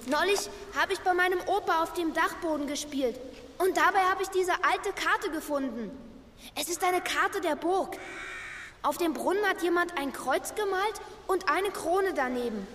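Very high-voiced earnest little girl. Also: fairly bright tone. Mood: earnest, hopeful. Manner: earnest, halting. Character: earnest young girl in trouble, hopeful and polite. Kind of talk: children's audio play. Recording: long reverb, faint background noise.

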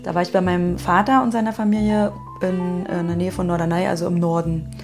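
A woman with a creaky, fairly low voice chats informally as an interviewer and conversation partner. Music plays faintly behind her as she talks. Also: level tone, quick pace, darkish tone. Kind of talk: casual conversational storytelling.

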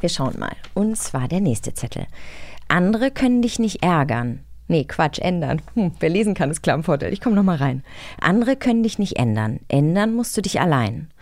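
Woman podcast host, lowish voice that moves around a lot, really dry sound. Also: big pitch swings, normal speed, darkish tone. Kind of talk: casual chat and game presenting.

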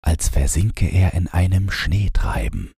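A man narrating a bedtime fairy tale from a script in a calm, measured, gentle way. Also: low-pitched, slightly rough, no room sound, clean studio sound. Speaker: audiobook narrator.

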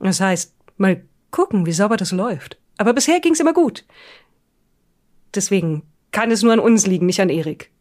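A woman's voice: mid-range voice, very animated, moderate pace, a little mellow. A woman co-hosting a podcast, chatting casually and explaining things without a script. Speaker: podcast co-host. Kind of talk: casual unscripted chat.

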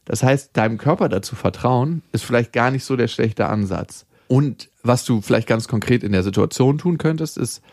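A man's voice: medium pitch, normal speed, few pauses, darkish tone, no echo, studio quality. A man co-hosting a podcast, reading listener mail and trading frank, joking banter. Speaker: podcast co-host.

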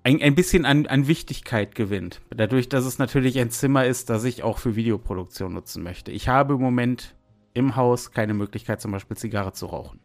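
A man's voice: medium pitch, fairly quick, faint music behind. A man chatting casually as co-host of a podcast.

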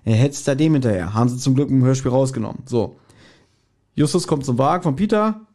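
A man's voice: mid-range voice, lively intonation, fairly quick, a little mellow. A man chatting casually as a podcast co-host, retelling an audio drama and slipping into acted quotes. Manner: acts out quoted lines while retelling. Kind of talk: casual chat recapping an audio drama episode.

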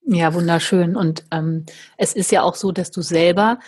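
A woman hosts a relaxed conversation and gushes with enthusiasm. Her husky voice rambles through plenty of fillers and restarts. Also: lowish voice, moderate pace. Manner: effusive, rambling, many fillers. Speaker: podcast host. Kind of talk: casual interview chat.

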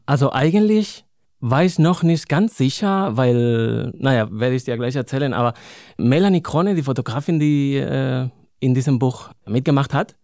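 A man in his thirties from Spain speaks German with a Spanish accent, pausing to search for words and laughing easily. His voice sits in a medium range. The recording is dry and close, with no room sound. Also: medium pitch, darkish tone, dry recording. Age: 30s. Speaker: poet and author guest. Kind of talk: interview, reading own poem.

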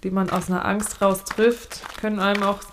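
A woman co-hosting a casual podcast, thinking out loud in long, reflective, trailing sentences, recorded on location at a mine. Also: medium pitch, level tone, moderate pace, slight background noise. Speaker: podcast co-host.

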